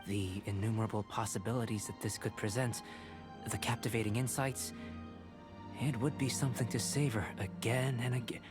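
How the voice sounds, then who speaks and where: An actor voicing a calm, erudite young male alchemist who speaks in a measured, articulate, academic way. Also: fairly low pitch, natural voice. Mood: musing. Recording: studio game voice with clear music.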